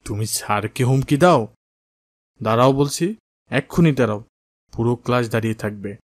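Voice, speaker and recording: A man's voice: mid-range voice, moderate pace, dry recording, clean studio sound, natural voice. A man playing a firm, dignified maths teacher in a children's cartoon. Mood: stern, commanding. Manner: stern, dignified, instructive. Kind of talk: cartoon dialogue acting.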